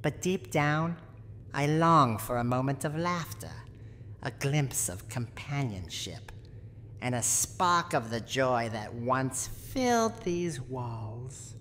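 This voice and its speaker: Very deep, slow, menacing old granny. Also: female voice, heavily acted. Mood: wistful, guarded. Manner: menacing, haughty, slow and theatrical. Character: cranky menacing lonely old granny villain from horror game. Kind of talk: dramatic scripted roleplay monologue, ASMR audio drama. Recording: long hall reverb, audible background noise.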